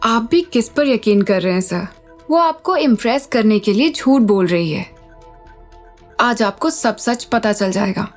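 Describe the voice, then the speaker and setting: A smug young female schemer in an audio drama. Her high voice is self-assured and catty, set over faint music. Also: animated, unhurried. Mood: confident, lying. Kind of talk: Hindi audio drama acting.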